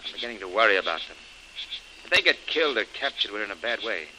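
Brisk, fast-talking hero, low-ish voice with a bright edge, urgent. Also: male voice, lively intonation, slight background noise, poor audio. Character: steady male hero leading a desperate escape. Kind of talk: 1930s radio acting.

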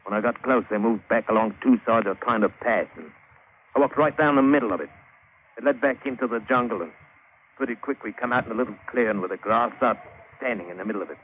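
A man's voice: medium pitch, fast, narrow, tinny audio, natural voice. A man acting a plain-spoken British sailor who spins a wry adventure yarn in colloquial, dramatic 1950s radio style. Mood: suspenseful, wry. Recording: thin, hissy old radio broadcast.

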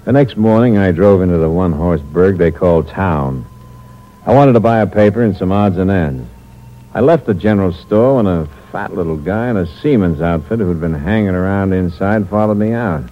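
A low, hard-boiled male voice of a cold schemer confessing his crime in a 1940s radio mystery. He talks in terse slang. The old recording is muffled, with a light hiss. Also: lively intonation, moderate pace. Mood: cold narrating.

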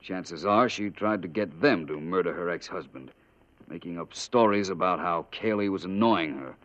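A man with an American accent playing a shrewd, composed gentleman detective in a 1950s radio drama, speaking in a measured, polite way. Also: deep voice. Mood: composed, explaining. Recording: dull old radio recording.

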